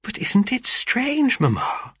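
Man voicing an animated, gossipy young sister. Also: extremely high pitch, slow pace, husky, no echo, studio quality, voice pushed up, full character voice. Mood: excited, gossipy. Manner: chattering, breathless with gossip, emphatic. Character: excitable, gossipy young sister bursting with news. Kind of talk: dramatised audiobook reading of a classic novel.

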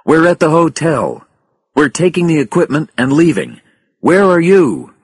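A man's voice: mid-range voice, huge pitch swings, unhurried, a bit bright, husky, no room sound, studio quality, voice pushed up, exaggerated voice. A man voicing an anxious, cautious male team leader in an audiobook disaster story, with an urgent delivery. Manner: urgent, calling out.

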